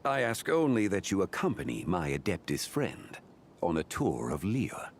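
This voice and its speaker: An actor playing a calm, dignified male deity in a video game, with formal, measured, courteous diction. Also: deep voice, slight background noise.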